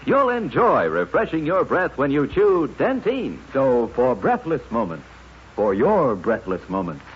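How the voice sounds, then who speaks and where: A man's voice: mid-range voice, huge pitch swings, a little slow. A man delivers a vintage radio advertisement with polished, emphatic selling. His intonation rises and falls dramatically. The recording is dull, with faint noise underneath. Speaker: commercial announcer. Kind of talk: 1950s radio advertising read.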